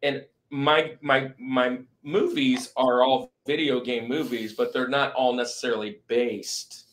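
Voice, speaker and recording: A man's voice: medium pitch, fairly even intonation, unhurried, fairly bright tone. A man hosting a movie ranking podcast on a video call, chatting casually and repeating phrases for emphasis with bro-style slang. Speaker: podcast host.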